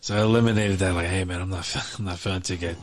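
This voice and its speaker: A man co-hosting a podcast in relaxed chat, rambling with frequent restarts and fillers. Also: deep voice, rapid-fire. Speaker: podcast co-host. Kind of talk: casual chat.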